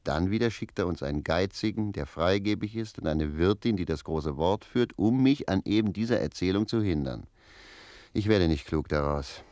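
An actor playing a talkative, cheeky, stubborn manservant in a German radio play. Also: male voice, low voice, animated, normal speed, a little mellow, no echo, clean studio sound. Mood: exasperated. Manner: chatty, argumentative.

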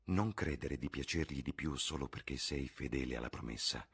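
A man's voice: rumbling low, moderate pace, fairly bright tone, slightly rough, heavily acted. Man doing a melancholy, earnest painter. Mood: pleading. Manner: grumbling, sad, pleading. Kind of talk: audiobook character voice.

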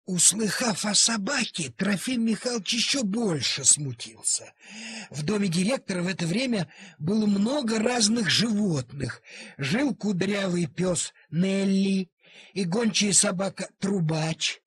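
A man's voice: sits high, animated, measured pace, a bit bright, slight background noise. A man narrating a story expressively, in a theatrical way, drawing out key words.

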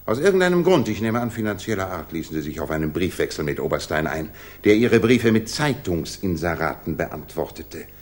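Casting: incisive gentleman sleuth, low voice, sweeping intonation, ironic and theatrical, vintage radio play. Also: big pitch swings, fairly quick. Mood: stern accusing. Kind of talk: 1960s German radio crime drama acting.

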